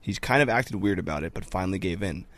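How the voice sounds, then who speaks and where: Low-voiced man reading quickly. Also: lively intonation, fairly bright tone, no echo. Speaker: podcast co-host reading listener questions. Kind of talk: reading listener letters aloud, casual.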